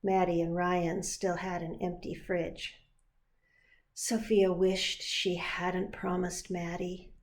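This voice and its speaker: A woman pastor reading a children's picture book aloud in an expressive storytelling style. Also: fairly low pitch, slow pace.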